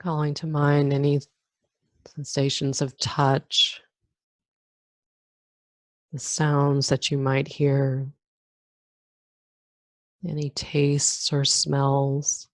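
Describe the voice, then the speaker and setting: A woman guiding a meditation as a teacher, giving calm, gentle instructions with long pauses between phrases. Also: deep voice, no room sound. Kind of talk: guided meditation.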